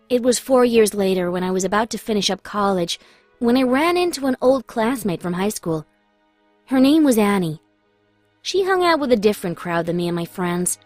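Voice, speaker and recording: A young woman one would hear telling a confessional, dramatic story in an animated short, medium-pitched, with faint music underneath. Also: no room sound. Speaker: first-person story narrator. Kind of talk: dramatic scripted narration.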